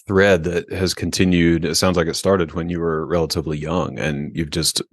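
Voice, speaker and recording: A man's voice: sits low, little pitch movement, normal speed, dry recording, clean studio sound. A man hosting a podcast, friendly and affirming, chatting casually with his guest. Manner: friendly, affirming, lots of mm-hmms. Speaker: podcast host. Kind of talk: casual interview chat. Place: remote from Colorado.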